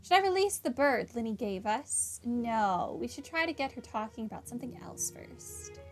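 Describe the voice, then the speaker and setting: A woman commentates a game playthrough in a slightly high voice. She thinks aloud casually and reads bits of dialogue out. Soft music sits in the background. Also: big pitch swings, normal speed. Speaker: gamer commentator.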